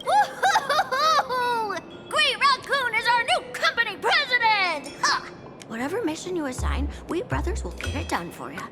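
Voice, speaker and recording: A woman's voice: very high-pitched, sing-song, normal speed. A woman voicing a small, eager, cutesy furry creature in a video game, chirpy and exaggerated. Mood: eager, deferential. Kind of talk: video game character acting. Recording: loud music behind. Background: loud game music.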